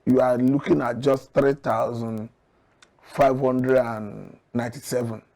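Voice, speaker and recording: A man with a Nigerian accent, arguing his points deliberately and emphatically as an interview guest analysing politics. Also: medium pitch, level tone, vocal fry, darkish tone. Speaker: studio guest, commentator.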